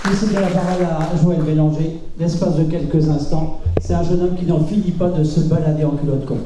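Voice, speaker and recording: A man's voice: fairly high pitch, steady tone, fairly quick, background noise. A man hosting an awards ceremony, announcing live and handing over to speakers. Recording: echoey, distant, crowd chatter. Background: crowd.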